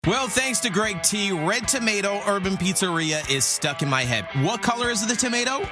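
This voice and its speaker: A man reading a radio ad in an upbeat sales-pitch style. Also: expressive, moderate pace. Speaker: ad announcer. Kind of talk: radio ad read. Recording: ad read over loud music.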